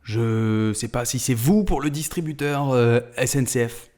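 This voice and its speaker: A man's voice: mid-range voice, a little slow, darkish tone. A man hosting prank calls in casual, slangy, rambling speech. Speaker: prank caller and video host. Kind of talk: casual prank-call chat and commentary.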